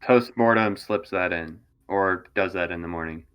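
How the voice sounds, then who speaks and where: A man with a fairly low but bright, forward voice talks as a tabletop roleplay player. He describes his character in a casual, hesitant way with plenty of hedging. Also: normal speed.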